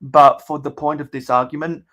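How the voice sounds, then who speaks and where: A young man with a mild Australian accent, chatting casually as a channel host in a measured, halting way that circles back on his phrases. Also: on the high side, level tone, a bit bright. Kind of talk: casual livestream chat.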